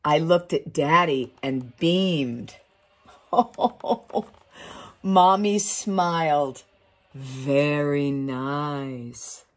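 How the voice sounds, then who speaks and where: A middle-aged woman reading a picture book aloud to children, expressively, with chatty asides and questions to her listeners. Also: sits low. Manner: expressive, drawn-out phrasing with questions to young listeners. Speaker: community storytime reader. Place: small wood-panelled room indoors.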